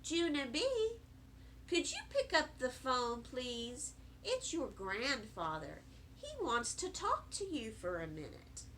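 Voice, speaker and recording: Woman playing a soft, slow-talking patient mother. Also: high-pitched, sing-song, a little hiss or hum, exaggerated voice. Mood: coaxing. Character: soft-spoken, patient, coaxing mother of a little girl. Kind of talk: children's storybook read-aloud.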